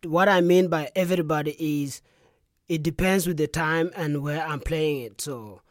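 A young man from South Sudan with a South Sudanese accent and Dinka as his first language, a musician guest talking softly in a radio studio interview. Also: fairly high pitch, moderate pace, no room sound. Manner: soft-spoken, frequent "yeah" and "you know".

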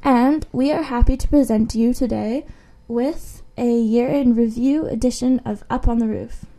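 A woman's voice: on the high side, moderate pace, mellow. A woman hosting a radio show in a casual, chatty style.

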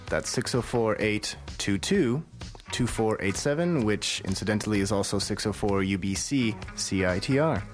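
A man hosting a radio arts show, talking casually and chatting easily with fillers. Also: on the low side. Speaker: radio host.

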